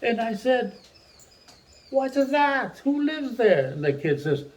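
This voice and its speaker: An older man from Mexico whose first language is Spanish, a retired teacher telling a long childhood story to his family in a rambling, animated way. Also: extremely high pitch, slow, muffled recording. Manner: rambling, animated storyteller who restarts sentences.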